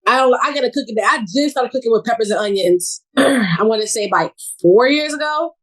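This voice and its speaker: A woman from New York City, a guest on a casual podcast, chatting in a relaxed way and laughing easily. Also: a little high, brisk, rough recording. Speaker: podcast guest, social media creator.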